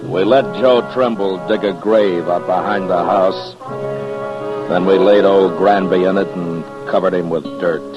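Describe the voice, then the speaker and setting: A man's voice: sits low, steady tone, unhurried. A man acting a stern, world-weary US Marshal in a 1950s western radio drama, terse, grave and plain-spoken. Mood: grave, reflective. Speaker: lead actor and first-person narrator. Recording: muffled old radio recording with music.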